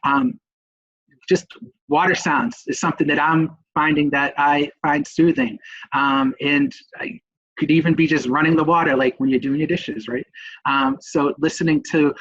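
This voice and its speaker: A middle-aged man with a Boston accent, a nurse presenting in a webinar, talking haltingly with many ums. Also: a little high, fairly even intonation, fairly bright tone, highs cut off. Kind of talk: webinar talk.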